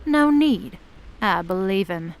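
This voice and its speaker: A woman's voice: mid-range voice, lively intonation, slow. A woman playing a taunting, playful, cruel demoness in an audio drama, with a teasing delivery. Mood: menacing.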